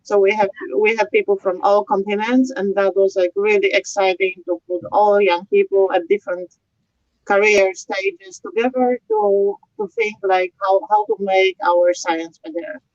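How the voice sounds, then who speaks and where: A woman's voice: medium pitch, normal speed, darkish tone, no echo. Young woman, dry muffled sound. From Poland. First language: Polish. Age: young adult. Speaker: guest, early career researcher. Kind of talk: informal panel talk. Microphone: video call. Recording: dull, lo-fi video call audio.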